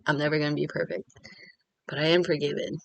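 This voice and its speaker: A woman's voice: low voice, level tone, fast talker, gravelly, dry recording, poor audio. A Korean American woman talking candidly and rambling in a casual confessional vlog from home. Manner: candid, rambling, self-deprecating. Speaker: vlogger.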